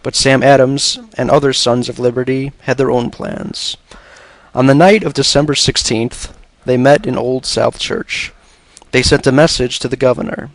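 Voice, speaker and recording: A man reads a scripted educational narration in a measured, expository way. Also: medium pitch, no echo, rough recording.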